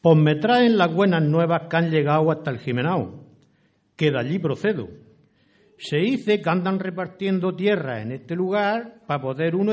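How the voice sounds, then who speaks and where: Man playing a slow-talking rustic settler, higher-pitched. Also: darkish tone. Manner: rustic, old-fashioned turns of phrase. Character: respectful, inquisitive rustic male settler seeking land. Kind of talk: amateur historical radio drama acting.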